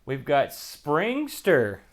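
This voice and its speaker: A man's voice: on the high side, wildly swooping pitch, extremely slow. A man hosting a retro computer show, giving casual, rambling unboxing commentary and half talking to himself as he handles items.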